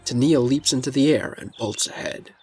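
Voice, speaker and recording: A man reads a scripted fantasy story as narrator. His voice sits at a middling pitch, with faint music running behind it. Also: moderate pace, darkish tone, husky.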